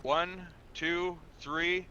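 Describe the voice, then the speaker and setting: A man's voice: high-pitched, steady tone, very slow, sharp tone, clean tone, highs cut off, heavily acted. A man roleplaying a patient, methodical police officer in an online game, giving firm, polite, procedural instructions. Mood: instructing.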